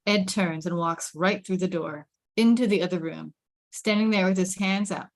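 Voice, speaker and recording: A woman with a slightly low voice reads screenplay directions aloud. It is scripted narration, describing action and camera moves as part of a table read. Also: moderate pace. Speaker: stage directions reader.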